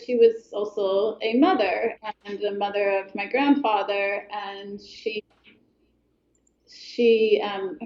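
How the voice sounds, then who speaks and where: A middle-aged woman from Colorado, speaking as an author guest in a thoughtful, hesitant way, with many pauses and restarts. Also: mid-range voice, roomy sound, muffled recording. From Colorado, USA. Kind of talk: reflective interview talk.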